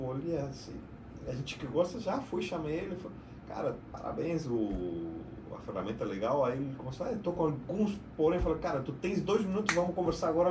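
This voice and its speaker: A middle-aged man from Argentina with an Argentine Spanish accent, a native Spanish speaker, talking about his work in a casual, slightly halting way as a podcast guest. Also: mid-range voice, noisy background. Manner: chatty, slightly halting storyteller. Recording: roomy echo, mic at a distance.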